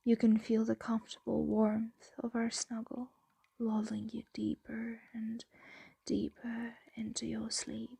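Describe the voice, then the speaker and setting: A woman voicing a possessive, doting female dragon in an ASMR roleplay, soothing and teasing in a breathy, intimate whisper. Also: on the high side, completely flat delivery, measured pace, warm tone, no echo, exaggerated voice.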